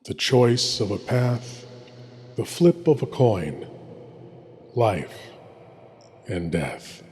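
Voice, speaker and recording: A sinister lord of shadows, acted by a man hosts a horror show. He narrates very slowly and theatrically in a low, ominous voice. Long reverb surrounds him. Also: sing-song, darkish tone, slight background noise, exaggerated voice. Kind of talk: scripted horror anthology narration. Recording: long cavernous reverb with music.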